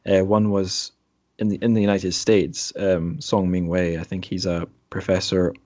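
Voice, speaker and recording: Low, mellow male podcast host. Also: little pitch movement, normal speed, no echo. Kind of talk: casual interview conversation.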